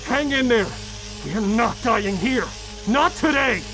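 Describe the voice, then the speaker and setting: A man's voice: very high voice, expressive, slow pace, music in the background, heavily acted. A man playing a wounded but protective male killer in a horror audio drama, strained, emotive and urgent. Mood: urgent, determined.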